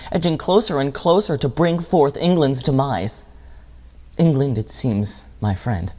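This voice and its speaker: A blinded old nobleman, played by a man, pours out his despair in a theatrical Shakespearean monologue. His mid-pitched voice is soft-edged and dark, and he speaks in grandiloquent, anguished phrases like a betrayed father. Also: natural voice. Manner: grandiloquent, lamenting, slow formal phrasing.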